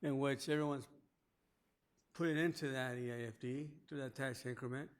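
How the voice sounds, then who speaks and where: A man on a county board asking questions and urging caution, explaining points at length at a public meeting. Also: medium pitch. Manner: cautionary, explanatory, thinking aloud. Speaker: board member.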